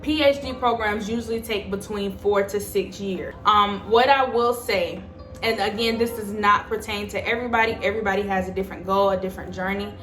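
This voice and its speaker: A woman's voice: mid-range voice, fairly even intonation, a little fast, fairly bright tone, reverberant, soft background music. A woman in her twenties giving casual, emphatic advice to her viewers. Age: 20s. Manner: chatty, emphatic, addresses viewers as y'all. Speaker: vlogger. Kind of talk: casual advice chat to camera.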